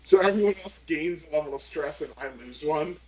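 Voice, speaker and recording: A man's voice: fairly high pitch, brisk, darkish tone, a little hiss or hum, rough recording. A man playing a tabletop role-playing game, casually improvising what his character does in a rambling, profane way.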